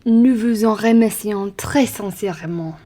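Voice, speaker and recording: A woman's voice: mid-range voice, unhurried, dark tone, a little hiss or hum, lightly acted. A woman playing a courteous, condescending upper-class traveller in an amateur radio play, formal and persuasive. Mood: polite, persuasive.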